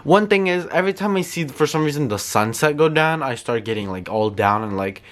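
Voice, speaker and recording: A man's voice: fairly high pitch, expressive, brisk. Congested teenage boy vlogging at home. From New York. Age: teens. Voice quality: congested, nasal. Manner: rambling, filler-heavy, self-conscious. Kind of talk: casual solo vlog. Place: home, indoors.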